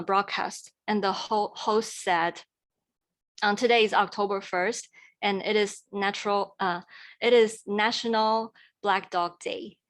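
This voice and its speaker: Earnest young woman presenting. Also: mid-range voice. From Taiwan. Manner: earnest, careful delivery with fillers and restarts. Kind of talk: presentation talk.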